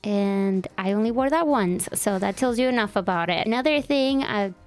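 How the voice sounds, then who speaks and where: A woman's voice: medium pitch, a little mellow. Woman in her twenties, chatty podcast host. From El Salvador. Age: 20s. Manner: chatty, self-deprecating, laughs easily. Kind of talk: casual chat.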